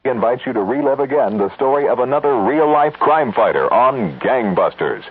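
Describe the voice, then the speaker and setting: A man's voice: medium pitch, animated, normal speed, slight background noise. A man working as a radio station announcer, reading a promotional announcement. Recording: dull old radio broadcast with music. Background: music.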